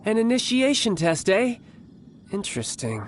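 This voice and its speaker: An actor playing a cocky, suave young warrior with a smug, formally polite manner in a video game. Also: male voice, high-pitched, sing-song, moderate pace, slight background noise. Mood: confident, teasing. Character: cocky, suave young male warrior posing as toy salesman.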